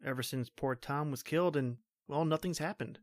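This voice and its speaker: A man's voice: a little high, normal speed, natural voice. An actor playing a young state trooper reassuring his sweetheart, in tender old-time radio drama style.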